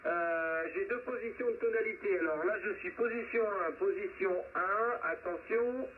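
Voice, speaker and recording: A high-voiced man comes through a narrow, tinny two-way radio link. He runs a ham radio round table in a methodical, chummy way, speaking into a hand mic over light noise. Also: normal speed. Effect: two-way amateur radio transmission. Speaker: amateur radio net host. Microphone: handheld radio microphone.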